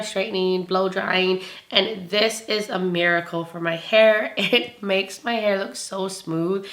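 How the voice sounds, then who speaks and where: A young woman with a hoarse voice from laryngitis, gushing about beauty products in a bubbly, casual review. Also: medium pitch, a bit bright. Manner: bubbly, gushing, laughs often. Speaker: beauty vlogger.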